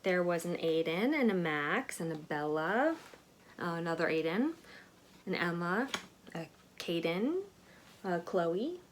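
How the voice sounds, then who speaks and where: A woman reading a picture book aloud to children in an expressive way, with chatty asides to her young listeners. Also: fairly low pitch, a little slow, pauses often, slight background noise. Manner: animated, expressive storytelling with questions to listeners.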